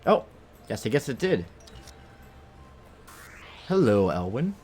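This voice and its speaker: A man's voice: medium pitch, sing-song, slow, a little mellow, soft background music. A man giving casual, rambling live gameplay commentary as a streamer, thinking aloud as he goes. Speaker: video game streamer.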